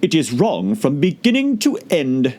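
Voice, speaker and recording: A man voicing a haughty, languid caterpillar in an audiobook, drawling slowly and speaking with curt, dismissive contempt. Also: fairly high pitch, huge pitch swings, darkish tone, a little hiss or hum, voice pushed up. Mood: contemptuous, curt. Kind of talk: audiobook character voice.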